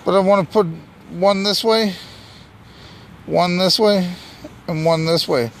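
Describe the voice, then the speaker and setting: A man's voice: high voice, a little slow. A man, chatting casually and informally as he films an outing outdoors. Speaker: vlogger filming a night magnet fishing outing. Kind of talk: casual narration and chat while filming. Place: outdoors on a bridge walkway at night. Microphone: handheld camera or phone held by speaker. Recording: noisy outdoor recording with ambient hum.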